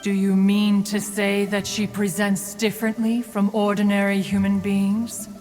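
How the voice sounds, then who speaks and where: A woman's voice: medium pitch, completely flat delivery, a little slow, loud music behind the voice, natural voice. A woman voicing a dignified, aloof ancient immortal mentor in a fantasy video game, speaking in formal, archaic, stately phrases. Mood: composed, haughty. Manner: formal, archaic, stately, calls herself "one". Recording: long reverb on the voice.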